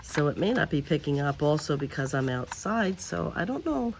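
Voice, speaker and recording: A woman hosting a crafting video outdoors. She talks in a quiet, subdued way, drifts into mutters and whispers as she works, and her voice is lower and deeper than usual. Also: very animated, moderate pace, gravelly, noisy background. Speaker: host of a paper craft channel. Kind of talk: casual crafting chat while working. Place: outdoors, in a breeze.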